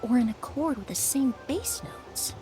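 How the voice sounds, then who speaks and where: A woman's voice: a little high, animated, a little slow. An actress playing a poised, polite, analytical young woman in a video game, speaking with precise composure. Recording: music behind the voice.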